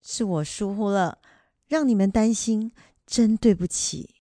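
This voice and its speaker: A woman acting an earnest, studious elderly grandmother in a radio drama, with a Taiwanese accent and a gentle, hesitant manner. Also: medium pitch, a little slow, no echo, clean studio sound, close to an everyday voice. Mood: apologetic.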